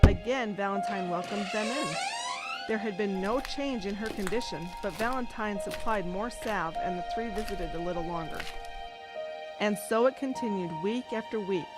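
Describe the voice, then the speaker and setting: A woman's voice: medium pitch, moderate pace, lightly acted, poor audio. A woman playing a patient storyteller who narrates a scripted tale for a child. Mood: narrating. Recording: clear music behind narration.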